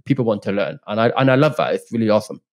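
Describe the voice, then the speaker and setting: A man's voice: fairly low pitch, expressive, rapid-fire. A man in his thirties from Northern Ireland, with a blended Northern Irish, Scottish and English accent, talking earnestly and openly as a podcast guest, with some restarts. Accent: mixed Northern Irish, Scottish and English. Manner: earnest, open, frequent restarts. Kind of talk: casual interview conversation. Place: home room with bookshelves. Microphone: studio microphone on boom arm, headphones.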